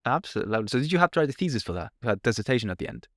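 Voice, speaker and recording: A man hosting a podcast, asking a guest about his studies in a lively, chatty way and often repeating words as he starts. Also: mid-range voice, very fast, dry recording, clean studio sound. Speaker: podcast host. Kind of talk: casual interview questions.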